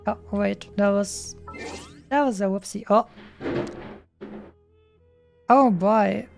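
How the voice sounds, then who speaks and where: A woman's voice: medium pitch, slow, gravelly. A woman game streamer chatting casually in a rambling way with playful, cutesy wording. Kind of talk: casual livestream chat over gameplay. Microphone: streaming microphone. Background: faint game music.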